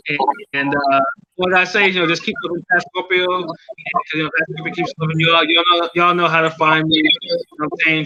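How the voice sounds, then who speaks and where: A man from New England, co-hosting a casual podcast, easygoing and friendly as he gives a shout-out. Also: fairly high pitch, muffled recording. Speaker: podcast co-host. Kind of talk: casual chat.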